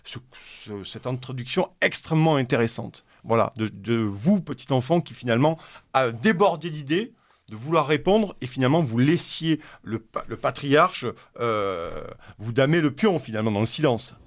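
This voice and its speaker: A man's voice: mid-range voice, expressive, normal speed. Man asking long, winding questions. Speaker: radio interviewer. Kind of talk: radio interview question.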